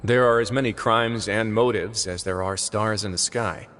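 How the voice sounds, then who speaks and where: An actor voicing a composed adult prison warden in a video game, speaking in a calm, measured, thoughtful way. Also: male voice, lowish voice, slight background noise, natural voice. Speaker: game character. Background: faint game music.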